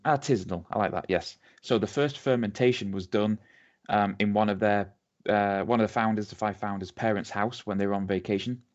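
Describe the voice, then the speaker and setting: Chatty English man, low voice. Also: a little fast. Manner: chatty, rambling with many ums and restarts. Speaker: podcast co-host. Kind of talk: casual chat, telling a distillery's story. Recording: dull lo-fi audio.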